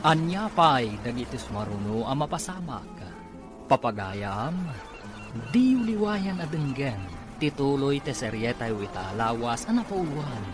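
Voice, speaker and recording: A man's voice: medium pitch, sing-song, measured pace. A man with an Ilocano accent delivering scripted radio drama narration as the show's announcer. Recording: music under the voice.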